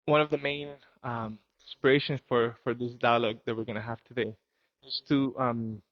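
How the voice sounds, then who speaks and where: A man's voice: medium pitch, no echo, highs cut off. A man hosting an interview podcast, talking earnestly and with enthusiasm, often murmuring agreement while his guest speaks. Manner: earnest, enthusiastic, says hmm a lot. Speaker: podcast host. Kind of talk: casual interview. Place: home in Costa Rica.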